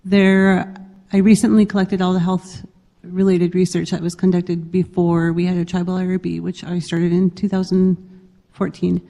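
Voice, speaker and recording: Woman, panel speaker, dark mellow tone, conversational with fillers, hall reverb. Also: on the low side, completely flat delivery, normal speed, vocal fry, hardly any pauses. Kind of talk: panel discussion. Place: conference hall.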